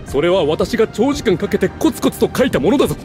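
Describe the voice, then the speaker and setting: An actor playing a proud, scheming aristocrat in an anime video game, speaking in a haughty, theatrical, declaiming way. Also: male voice, high-pitched, sing-song, quick pace. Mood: indignant. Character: pompous scheming aristocrat, proud adult man. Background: game music.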